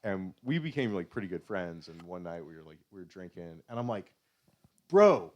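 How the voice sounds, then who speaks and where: A man with a very low voice that swings around a lot as he chats. He tells anecdotes casually, stopping and starting, swearing freely and laughing easily. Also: very animated. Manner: sweary, halting storyteller who laughs often. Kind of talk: casual chat, telling anecdotes.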